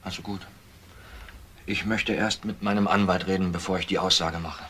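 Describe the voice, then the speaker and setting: Faint noise sits behind a troubled younger brother in a crime radio drama. His lowish male voice is subdued and halting, weighed down with guilt. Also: steady tone, pushed and bright. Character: guilt-ridden, hesitant younger brother with drinking problem.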